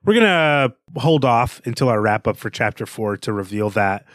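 A man with a medium-pitched voice talks as a podcast co-host, with very little room sound. He is analytical and reflective about books, often circling back to restate a point. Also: expressive, normal speed, fairly bright tone, no room sound, studio quality. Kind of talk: analytical book discussion.

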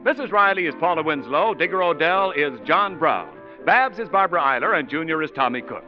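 A man's voice: on the high side, lively intonation, brisk. A man announcing commercials and credits in a polished, emphatic 1940s radio style. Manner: polished, emphatic sales delivery. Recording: muffled old radio broadcast, music bleed.

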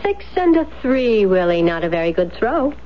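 An actress playing a cool, scheming, single-minded wealthy wife in a 1970s radio drama, poised and needling. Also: female voice, mid-range voice, sing-song, moderate pace, natural voice. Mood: amused, needling. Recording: muffled, noisy 1970s radio broadcast.

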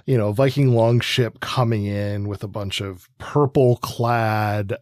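A man's voice: on the low side, steady tone, a little slow, no echo, clean studio sound. A man in his forties chatting on a podcast, rambling with lots of fillers and restarts. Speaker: podcast co-host. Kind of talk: casual chat about comics.

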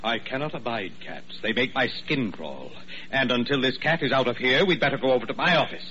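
A self-important, fussy medical administrator from old radio drama. His voice is forceful and animated, with pompous phrasing. The sound is dull and faintly hissy. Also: medium pitch, brisk. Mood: fussy urgent. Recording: muffled, hissy vintage radio recording.

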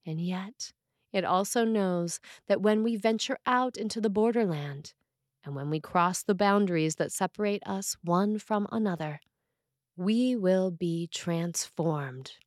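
A woman reading a scripted sermon as a preacher, measured and deliberate with emphatic phrases. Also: medium pitch, no room sound, clean studio sound.

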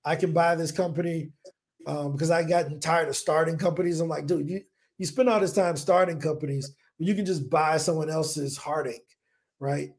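An energetic man in his forties from the United States, telling his business story on a podcast. His voice sits slightly high for a man and has a gravelly edge. He speaks casually and acts out what he was thinking at the time. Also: moderate pace. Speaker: podcast guest, business owner. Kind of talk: casual interview talk. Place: home in Colombia.

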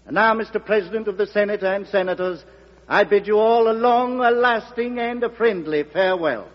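An actor playing a grandiose senator in an old-time radio drama, delivering solemn, measured oratory. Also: male voice, sits high, lightly acted. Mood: solemn, declamatory. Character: grandiose male senator giving a solemn farewell speech. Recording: lo-fi old radio, long hall reverb.

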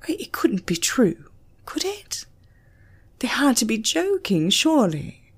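A woman's voice: on the high side, very animated, unhurried, breathy edge. A woman with a British accent giving a dramatic narration of a scripted story. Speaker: audiobook narrator.

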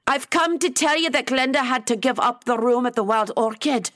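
A woman's voice: fairly high pitch, moderate pace, a bit bright, no echo, clean studio sound, pitched above the performer's own voice, close to an everyday voice. A woman voicing an urgent, blunt Scottish woman bringing bad news in an audiobook, with a coarse manner. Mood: flustered.

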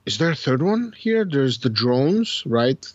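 A man's voice: medium pitch, sing-song, measured pace, no echo, muffled recording. A man co-hosting a casual podcast, explaining at length and correcting himself as he thinks aloud. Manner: rambling, thinks aloud with many self-corrections. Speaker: podcast co-host. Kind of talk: casual podcast chat about strategy games.

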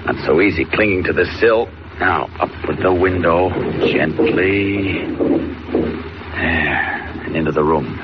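A deep-voiced, resolute American superhero from a forties radio serial. He is commanding and deliberate, speaking his actions aloud. The old recording is muffled under heavy noise. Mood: hushed, watchful. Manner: commanding, deliberate, self-narrating. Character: stern, fearless male superhero, talking resolutely to himself and threatening crooks. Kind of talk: 1940s radio acting.

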